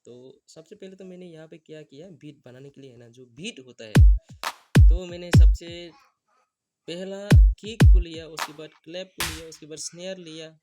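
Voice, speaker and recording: A man who is not a native Hindi speaker gives a step-by-step beat-making tutorial, talking in a halting, apologetic way. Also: fairly high pitch, over music. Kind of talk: music production tutorial. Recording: beats and instrument clips playing loud.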